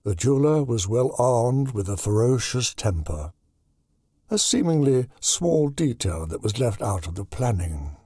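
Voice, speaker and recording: A man narrating a horror audiobook in a deliberate, dramatic storytelling manner. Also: fairly low pitch, warm tone, no echo, clean studio sound.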